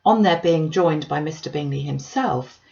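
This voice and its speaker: A woman's voice: sits low, moderate pace, creaky, warm tone, highs cut off. A woman reading a classic novel aloud as scripted audiobook narration. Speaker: audiobook reader.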